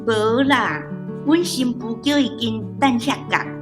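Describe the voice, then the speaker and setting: Figure: chatty elderly village woman. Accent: Taiwanese. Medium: radio drama. Delivery: very lively. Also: on the high side, measured pace, over music. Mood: gossipy.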